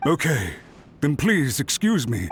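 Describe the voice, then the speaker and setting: A man's voice: mid-range voice, big pitch swings, unhurried, a little hiss or hum. An actor voicing an anxious, deferential official pleading with his lord in a video game, sounding flustered. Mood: nervous, apologetic.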